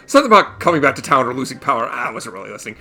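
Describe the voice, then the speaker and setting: Gravelly man with a cold, gaming commentary. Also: mid-range voice, very animated, rapid-fire, sharp tone. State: fighting a cold. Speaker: gaming channel host. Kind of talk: casual let's play commentary. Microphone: USB desktop condenser microphone. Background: game music.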